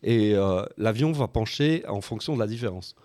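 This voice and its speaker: Guy in his 40s on a board game podcast, soft mellow low voice, lots of uhs, halting. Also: lively intonation, no echo. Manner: halting, hesitant explanations with many filled pauses. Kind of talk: casual podcast talk, explaining board games.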